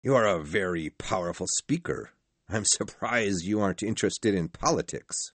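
A man's voice: low voice, lively intonation, normal speed, fairly bright tone, no room sound, exaggerated voice. A man voicing a grumpy, miserly old businessman in a storybook, curt, scornful and irritable. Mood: angry, sarcastic. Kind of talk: storybook character voice.

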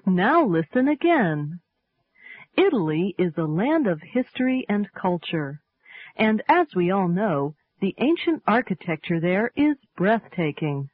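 A woman presenting a scripted English lesson, reading slowly with careful enunciation for learners. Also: mid-range voice, no echo. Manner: slow, clearly enunciated, lively intonation. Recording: tinny narrow-band radio sound.

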